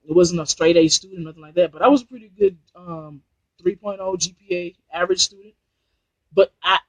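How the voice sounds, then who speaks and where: High-voiced man giving casual business advice. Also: fairly bright tone, no echo, lo-fi sound. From New Jersey, USA. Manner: rambling, repeats and restarts phrases, motivational. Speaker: online business trainer. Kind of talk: casual live-stream talk. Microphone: Bluetooth headset.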